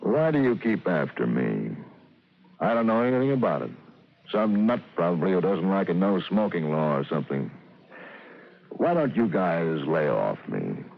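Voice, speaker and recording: A ruthless, irritable American racket boss in an old radio drama. His deep, gravelly voice swings animatedly in pitch. It comes through a tinny vintage recording. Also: big pitch swings, normal speed, exaggerated voice. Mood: irritated, defensive. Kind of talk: 1950s radio drama acting.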